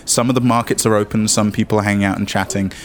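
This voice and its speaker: A man's voice: fairly low pitch, fairly even intonation, quick pace. A man narrating as game master, mixing descriptive scene-setting with wry, joking asides.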